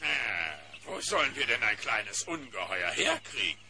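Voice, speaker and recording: A man's voice: medium pitch, very animated, gravelly, background noise. A man voicing a big, gullible, dim-witted monster with simple broken speech in a children's cartoon audio play. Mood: worried. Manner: slow, simple broken grammar.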